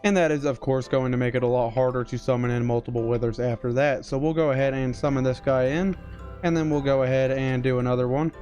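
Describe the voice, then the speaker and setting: Young male streamer, mid-pitched, a bit worn from illness, explains builds step by step over faint background music. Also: fast talker, dull audio. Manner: explanatory, steady tutorial delivery. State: recovering from illness, voice strained. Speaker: game streamer. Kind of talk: gameplay walkthrough narration. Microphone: headset mic.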